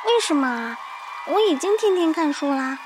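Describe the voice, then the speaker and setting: A woman's voice: high voice, big pitch swings, moderate pace, music in the background. A woman playing a cheeky, pouty eight-year-old little sister in a scripted radio skit. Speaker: radio co-host.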